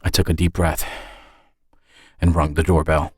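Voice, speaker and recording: A man's voice: very deep voice, level tone, measured pace. A man reading a first-person suspense story as a scripted narration. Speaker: writer and narrator of an audio story.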